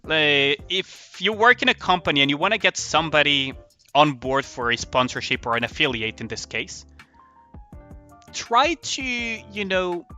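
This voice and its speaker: Bright-voiced man streaming casually over music. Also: fairly high pitch, very animated, unhurried. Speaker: live streamer. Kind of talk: casual livestream chat over a game. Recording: music behind the voice.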